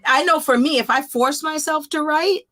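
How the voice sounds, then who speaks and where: A woman's voice: high voice, moderate pace, sharp tone. A middle-aged woman hosting a casual interview, informal and effusive, often repeating her phrases. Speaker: interview show host.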